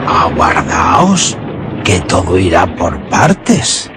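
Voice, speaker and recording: Slow, mysterious herdsman storyteller. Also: deep voice, big pitch swings, heavy background noise, exaggerated voice. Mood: sly. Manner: mysterious, drawn-out, suspenseful storytelling. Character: rustic herdsman telling a mysterious old legend. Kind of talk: radio drama storytelling.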